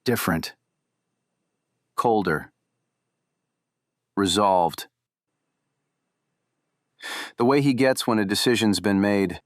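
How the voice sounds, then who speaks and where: An actor playing a guarded, weary man in a noir audio drama, speaking in terse, measured, ominous lines. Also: lowish voice, dry recording, studio quality. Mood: grave, resigned. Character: guarded, weary man warning two investigators.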